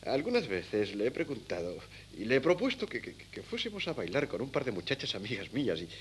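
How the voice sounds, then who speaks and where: An actor playing a worldly, easygoing young son in a Spanish radio comedy, speaking with a Castilian accent and a wry manner. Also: male voice, medium pitch, wildly swooping pitch, fairly quick. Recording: faintly noisy old radio cassette.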